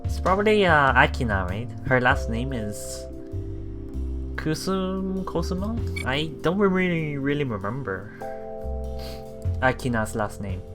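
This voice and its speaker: A man reading a visual novel aloud with casual commentary, halting and often repeating himself, with music behind him. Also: mid-range voice, sing-song. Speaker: let's player.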